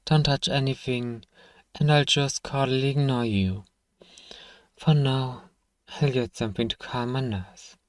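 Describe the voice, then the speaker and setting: A man's voice: mid-range voice, steady tone, unhurried, no echo, clean studio sound, natural voice. A man playing an irritable, sarcastic fantasy apothecary in an ASMR roleplay, stern and given to exasperated sighs. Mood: impatient, scornful. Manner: stern, sardonic, exasperated sighing.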